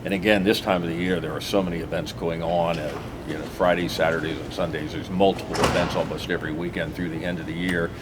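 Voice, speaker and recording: A man hosts a hometown TV show, his voice sitting very low. He talks in a loose, rambling way, full of "uh" restarts. Steady background noise runs under the recording. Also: fast talker, hardly any pauses. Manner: relaxed, rambling, many "uh" restarts. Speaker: host of a local TV talk show. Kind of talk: casual interview chat.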